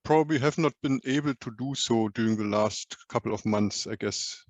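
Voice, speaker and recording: A man's voice: medium pitch, fairly quick, a little mellow, no room sound. A man interviewing a musician, asking long, winding questions that end in tags like "isn't it".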